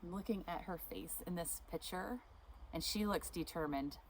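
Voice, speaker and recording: A woman reading a picture book aloud, expressive, stopping now and then to ask the listener questions. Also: on the low side, moderate pace, slight background noise, rough recording. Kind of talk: read-aloud storytime.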